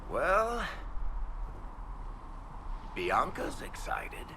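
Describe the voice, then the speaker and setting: An actor playing a cocky, wry male rogue and storyteller in a video game, with a gravelly voice and playful sarcasm. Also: on the high side, huge pitch swings, extremely slow, background noise, heavily acted. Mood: sardonic. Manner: sardonic, playful.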